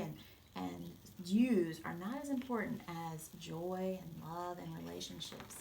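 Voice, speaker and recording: A woman reading a storybook aloud and explaining it to children in an expressive, gentle way. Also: lowish voice, vocal fry, a little hiss or hum.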